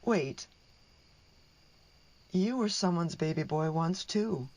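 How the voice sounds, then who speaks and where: A woman's voice: on the low side, lively intonation, slow, a little mellow, heavily acted. Lady acting a fed-up middle-aged mom, sarcastic and wounded, voice swings all over, radio drama. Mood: softening. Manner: sarcastic, emotional. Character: hurt, sarcastic middle-aged wife and mother of a teenager.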